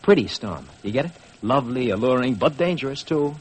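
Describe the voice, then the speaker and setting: An American man playing an excitable, wheedling publicity agent in 1950s radio drama style. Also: mid-range voice, fairly quick, husky. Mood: enthusiastic, persuading. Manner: wheedling, salesman-like, insistent. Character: pushy, excitable, chuckling Hollywood publicity man. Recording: noisy vintage 1950s radio recording.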